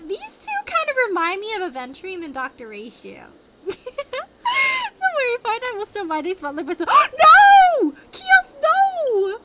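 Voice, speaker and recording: A woman livestreaming a game, chatting in an excitable, giggly way with squeals. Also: extremely high pitch, measured pace, heavy background noise. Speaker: game streamer. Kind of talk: livestream commentary and reading game dialogue aloud. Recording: game music behind the voice. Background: game music.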